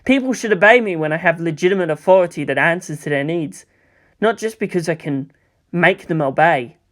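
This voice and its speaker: A man's voice: a little high, fairly quick, a bit bright, natural voice. A man voicing a reluctant, earnest and defiant teenage boy hero in a dramatised fan fiction reading, speaking with intensity.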